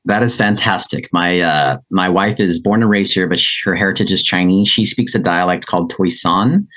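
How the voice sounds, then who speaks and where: A man's voice: low voice, normal speed, fairly bright tone. A man hosting a podcast, upbeat and encouraging, asking questions with many fillers. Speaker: podcast host. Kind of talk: conversational interview.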